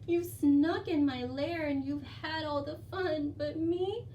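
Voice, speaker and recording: A woman's voice: high-pitched, steady tone, takes it slowly, dark tone, highs cut off, voice pushed up. A woman voicing a big, grumbling, indignant bear in a children's storybook with emphatic delivery. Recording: distant microphone with faint noise.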